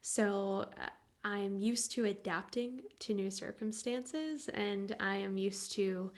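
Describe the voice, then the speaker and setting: A woman from the United States giving an earnest, reflective personal testimony in halting phrases at a church service. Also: mid-range voice, a little mellow.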